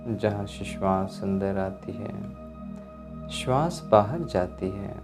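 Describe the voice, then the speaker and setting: Slow, low-voiced man guiding meditation. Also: gravelly. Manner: calm, measured instructions with pauses. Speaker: meditation instructor. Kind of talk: guided meditation instruction. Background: music.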